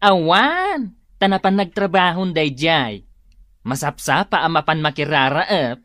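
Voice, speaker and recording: A woman's voice: low voice, animated, a little slow, a bit bright, no room sound, clean studio sound. An actress playing an inquisitive village gossip in a comedy radio drama, drawing out her words. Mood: curious.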